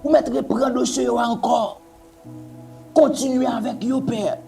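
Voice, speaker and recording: Guy praying hard, high and warm voice, repeating lines over and over. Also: steady tone, unhurried, gravelly, faint music behind. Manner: repetitive, pleading, intoning invocations. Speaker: prayer leader. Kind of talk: fervent spoken prayer.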